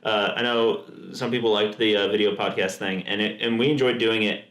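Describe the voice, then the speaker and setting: A man's voice: fairly low pitch, fairly even intonation, a little fast, fairly bright tone. A man co-hosting a podcast, chatting casually and enthusiastically, often restarting his sentences. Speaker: podcast co-host. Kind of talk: casual chat. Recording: roomy echo.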